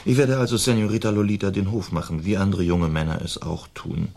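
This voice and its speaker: Young nobleman, slightly low voice, languid, plaintive, formal, dutiful, radio play. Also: fairly even intonation, fairly quick, vocal fry, a little mellow. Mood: resigned. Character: languid young nobleman, peace-loving, dutiful, weary son. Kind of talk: German radio play acting.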